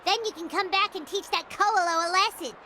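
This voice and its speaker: A woman's voice: very high-pitched, brisk, a little hiss or hum. A woman voicing a tiny, cheerful, chatty fairy girl companion in a video game. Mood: eager, encouraging. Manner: speaks of self in third person, perky. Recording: loud music behind the voice.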